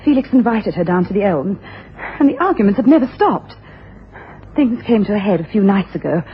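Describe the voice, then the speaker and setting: An actress playing an anxious, genteel wife in a radio drama, formal, refined and hesitant. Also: female voice, medium pitch, very animated, fairly quick, close to an everyday voice. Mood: earnest, confiding. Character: anxious, genteel upper-class wife seeking help. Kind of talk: 1980s radio drama acting. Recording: dull, noisy old radio broadcast.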